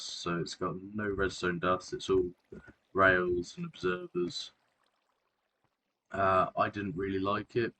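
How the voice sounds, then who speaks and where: A man. He rambles, corrects himself and pauses on fillers, with a faint hiss of noise under the voice. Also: low-pitched, a bit bright. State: sore throat, clearing throat and coughing. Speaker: Minecraft video creator. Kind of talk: casual gameplay narration.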